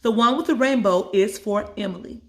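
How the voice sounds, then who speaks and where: A woman voicing a brisk mother managing children in a children's story. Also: medium pitch, a bit bright, pitched above the performer's own voice, lightly acted. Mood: cheerful, brisk. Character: brisk mother herding excited children at a party. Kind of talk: read-aloud children's story.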